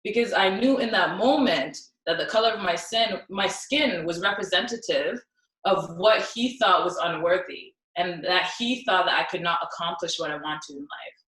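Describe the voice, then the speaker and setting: Young woman from South Sudan, low-ish voice, earnest speaker, echoey room, distant mic. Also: normal speed, fairly bright tone. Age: young adult. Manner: earnest, with frequent ums. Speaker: symposium speaker. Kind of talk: personal talk with recited poem.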